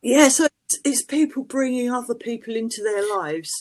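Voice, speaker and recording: A woman's voice: on the high side, animated, moderate pace, a little mellow. A woman, co-hosting a podcast in casual chat, with a dry, teasing manner and laughs through her lines. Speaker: podcast co-host.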